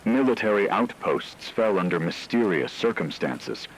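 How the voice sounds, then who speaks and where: A low-pitched man delivers scripted apocalyptic sci-fi narration. His delivery is grave and measured, in a dramatic storytelling style. There is noticeable rain and noise behind his voice. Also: animated, poor audio.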